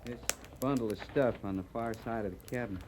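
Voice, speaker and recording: A man's voice: mid-range voice, a little fast, lightly acted. A man acts out a frontier cavalry sergeant with a medium voice. He reports plainly and respectfully. It is a noisy old radio western recording. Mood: dutiful. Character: dutiful male cavalry sergeant reporting to his captain. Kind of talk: 1950s radio drama acting.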